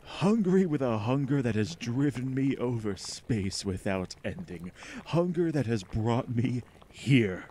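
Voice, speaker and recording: Slow, menacing alien overlord played by a man. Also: mid-range voice, lively intonation, noisy background, close to an everyday voice. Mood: hungry, menacing. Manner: slow, pompous, contemptuous declamation. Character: ancient, arrogant, menacing alien mind from a meteor. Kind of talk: retro radio drama acting.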